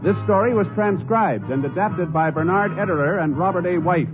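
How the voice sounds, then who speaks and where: A man's voice: high-pitched, animated, moderate pace. A man announcing a radio program in a formal, scripted 1950s broadcast style. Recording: narrow-band old radio with loud music.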